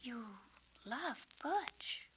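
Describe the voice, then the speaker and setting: An earnest little storybook schoolgirl, played by a woman, full of imagination and easily wound up. Her voice is bright and lifted, on an old record with faint noise. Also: fairly high pitch, drawn-out words, voice pushed up, heavily acted. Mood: astonished. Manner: excitable, emphatic. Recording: noisy 1970s children's story record.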